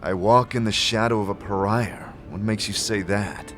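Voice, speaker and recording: A man acts a human adventurer in a fantasy audio drama. He is steady and plain-spoken, talking in a direct, casual way. Light music plays underneath. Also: lowish voice, moderate pace, lightly acted. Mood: questioning.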